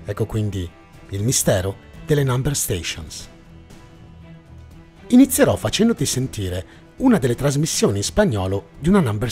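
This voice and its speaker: A man's voice: mid-range voice, a little mellow. A man narrating a scripted mystery documentary in a suspenseful, dramatic storytelling manner. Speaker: narrator and host of a mystery series. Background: background music.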